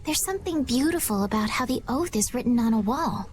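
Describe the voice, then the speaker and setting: Actress as high-voiced, earnest young noblewoman. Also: expressive, brisk, a little hiss or hum, natural voice. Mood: earnest, thoughtful. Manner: earnest, formal, articulate. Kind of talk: video game voice acting.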